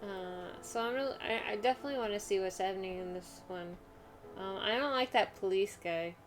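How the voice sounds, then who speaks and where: A woman's voice: medium pitch, fairly quick, over music. A woman chatting casually as a video game streamer, musing and often letting thoughts trail off.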